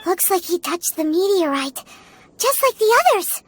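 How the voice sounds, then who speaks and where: A woman's voice: very animated, normal speed, no echo. A woman voicing a tiny, blunt, eager childlike fairy companion in a video game. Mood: curious. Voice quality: squeaky, childlike. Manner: bubbly, speaks of self in third person. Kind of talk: video game character acting. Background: background music.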